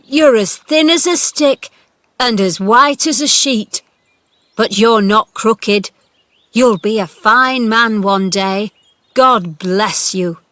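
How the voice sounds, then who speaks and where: Storybook role: woman voicing a cross old gardener, loud and blunt, dry close sound. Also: mid-range voice, takes it slowly, dry recording. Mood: tearful. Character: cross old male gardener, gruff but tender. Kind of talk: storybook character voice.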